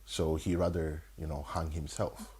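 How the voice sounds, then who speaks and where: A man's voice: a bass voice, level tone, moderate pace, mellow, husky. A man in his thirties from Italy with an Italian accent, co-hosting a podcast and talking in a frank, reflective way with lots of fillers. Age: 30s. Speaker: podcast co-host. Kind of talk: casual conversation.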